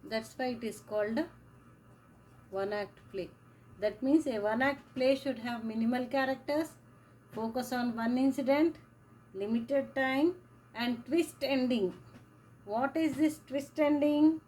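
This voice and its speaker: Slow, high-voiced woman teacher. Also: darkish tone, a little hiss or hum, poor audio. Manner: slow, repetitive explaining, repeats phrases for emphasis. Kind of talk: classroom lecture.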